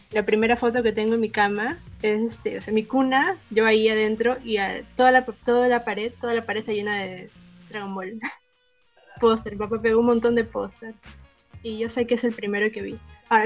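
A woman's voice: fairly high pitch, steady tone, a little fast, soft background music. A young woman, reminiscing casually as a podcast co-host.

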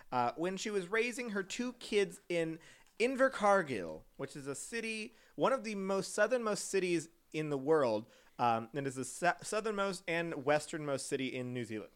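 A man's voice: a little high, fairly bright tone, clean tone. A man hosting a podcast, casually telling a true-crime story, giggly and rambling with frequent self-corrections.

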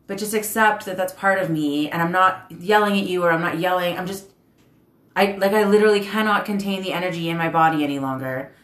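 A woman in casual chat who gets loud and animated when excited. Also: lowish voice, fairly quick. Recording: echoey room.

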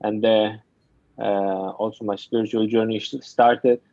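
Low, flat-voiced man on dull audio. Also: no room sound. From Afghanistan and Germany. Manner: halting, searching for words, with many restarts. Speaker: guest, mental training coach. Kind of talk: casual interview talk.

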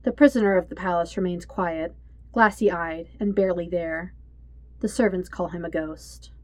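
A woman with a fairly low voice narrates a story from a script. Her delivery is measured and solemn, and the recording is close and dry, with little room sound. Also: no room sound. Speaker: fan fiction narrator.

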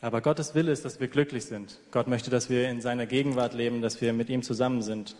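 Man, live sermon interpreter, medium pitch, long reverb of a large hall. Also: fairly even intonation, quick pace, darkish tone. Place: large echoing church hall.

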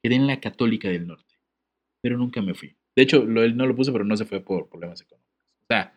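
A man's voice: on the low side, fast talker. Joking podcast guy reading a letter. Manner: joking, laughs often, reads with comic asides. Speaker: podcast co-host. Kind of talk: casual podcast chat, reading a letter aloud.

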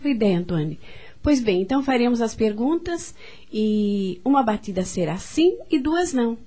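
Casting: actress as genteel Brazilian-accented society aunt, theatrical and gushing, fairly high voice, period radio play. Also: female voice, animated, moderate pace, dark tone. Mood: playful, commanding. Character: elegant, sociable society hostess aunt in her thirties. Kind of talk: radio drama acting in a period story. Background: music.

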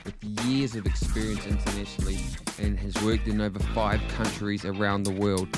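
Host: New man, fairly low voice, upbeat reader of intros, clear music bed. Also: monotone, fairly quick. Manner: upbeat presenter, reads intro. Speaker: podcast host. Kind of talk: podcast interview. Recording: music playing behind.

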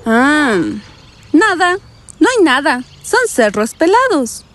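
A woman playing a breezy, skeptical and easily annoyed vacationer in an audio drama, colloquial and expressive in delivery. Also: high-pitched, takes it slowly, exaggerated voice. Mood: relaxed, carefree. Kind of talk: scripted audio drama acting.

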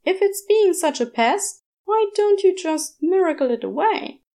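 A woman's voice: very high voice, animated, unhurried, warm tone, pitched above the performer's own voice, exaggerated voice. A woman voicing a polite, unruffled and fond man with a genteel, mild way of talking. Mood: mildly puzzled. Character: polite, unruffled, fond male partner of a demon.

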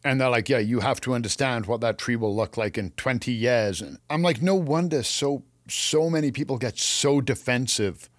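A man from England chats as co-host of a gardening show. His voice is medium in pitch and recorded dry and close. He is opinionated and casual, acting out little quotes as he tells stories. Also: normal speed, hardly any pauses, no echo.

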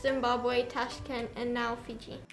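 A woman's voice: fairly high pitch, level tone, measured pace, faint music behind. A teenage girl from Australia, a student guest, talking hesitantly with many fillers.

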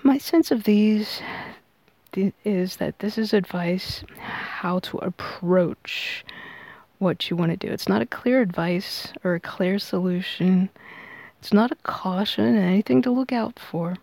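Woman reading tarot for a podcast, creaky mellow voice, explains stuff in measured bits, really dry sound. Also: medium pitch, no room sound. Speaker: tarot reader and podcast host.

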